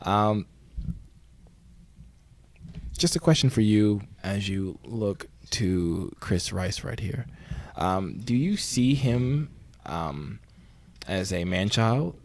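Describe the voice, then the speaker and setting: A man's voice: on the low side, animated, takes it slowly, dry recording. An actor playing an earnest, rambling male podcast host who hesitates and placates his guests in a mock interview. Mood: probing. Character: earnest, apologetic male investigative podcast host, skeptical of spirits.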